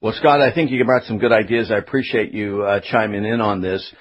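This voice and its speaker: A man's voice: on the low side, steady tone, a little fast. A man hosting a live talk radio interview. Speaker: radio host. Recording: slightly dull radio broadcast sound.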